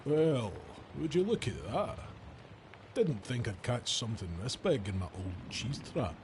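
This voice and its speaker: A man's voice: mid-range voice, very animated, a little slow. A man playing an old, folksy and evasive estate gamekeeper in a video game, speaking in a wry, rustic storytelling manner. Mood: wry disbelief. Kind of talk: scripted video game character dialogue.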